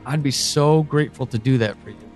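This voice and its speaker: An actor playing an anguished, pleading, devoted man in an audio drama monologue, speaking haltingly and emotionally. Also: mid-range voice, darkish tone, faint music behind, lightly acted. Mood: apologetic, tender. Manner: halting, hesitant, emotional, with sighs and pauses.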